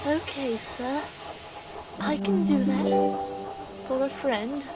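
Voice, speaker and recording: Girl doing prissy talking railcar lady, real slow n high, theatrical audio drama thing, music loud behind. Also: poor audio. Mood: meek, resigned. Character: female diesel railcar Daisy, prissy but obliging. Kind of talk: audio drama character reading.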